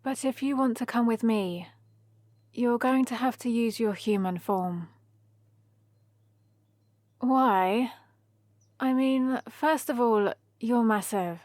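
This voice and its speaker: A woman's voice: fairly high pitch, slow, pauses often, no echo. A woman playing a playful, teasing young heroine adventurer who chats and rambles fondly in a one-sided ASMR roleplay. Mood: explaining, coaxing. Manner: chatty, rambling, gently teasing.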